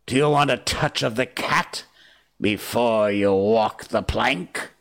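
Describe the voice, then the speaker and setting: A man's voice: mid-range voice, slow pace. A man voicing a menacing storybook pirate captain in a grand, mock-polite, threatening manner. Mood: villainous glee. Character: menacing pirate captain, theatrical, purring yet thunderous villain.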